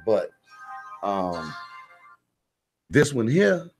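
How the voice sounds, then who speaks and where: A man's voice: on the low side, big pitch swings, extremely slow, soft background music. An American man talking casually as a radio guest, plain-spoken and slangy, repeating phrases for emphasis. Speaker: stage playwright and director, radio guest.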